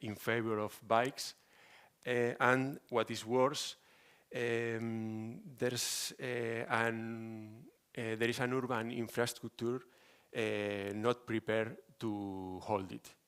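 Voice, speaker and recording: A man with a strong Spanish accent presenting a project at a conference as an architect, halting and hesitant with frequent pauses. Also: medium pitch, level tone, fairly bright tone, smooth. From Spain.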